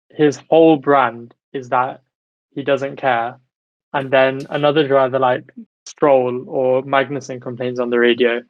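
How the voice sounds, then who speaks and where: A man's voice: medium pitch, no room sound, lo-fi sound. A man hosting a casual sports discussion, giving opinions and putting questions to the others in an argumentative way. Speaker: podcast host steering discussion.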